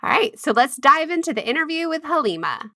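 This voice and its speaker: A woman's voice: high voice, sing-song, fairly quick, bright, forceful tone. A woman hosting a podcast, talking candidly and upbeat in a conversational way. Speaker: podcast host. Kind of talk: podcast intro and interview.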